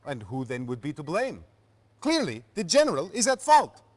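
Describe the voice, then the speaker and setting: An actor playing an officious Soviet military aide, formal and insistent, in a video game cutscene. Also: male voice, fairly high pitch, huge pitch swings, moderate pace. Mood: accusing.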